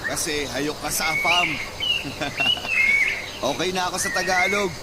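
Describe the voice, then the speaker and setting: A man's voice: sits high, huge pitch swings, unhurried, heavy background noise, heavily acted. A man voicing a nosy neighbor who mocks and teases in a playful way. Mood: teasing. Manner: mocking, playful. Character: teasing nosy male neighbor. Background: loud background music.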